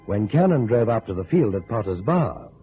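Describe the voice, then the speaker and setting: Male narrator, deep voice, animated intonation, dramatic scripted storytelling, dull vintage radio sound. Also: moderate pace. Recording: band-limited old radio recording with music.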